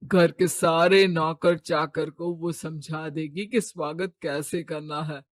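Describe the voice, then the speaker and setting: A man's voice: a little high, steady tone, slow pace, dry recording, clean studio sound. A man voicing a stern, status-proud middle-aged father in a cartoon moral story, domineering and scornful. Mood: commanding.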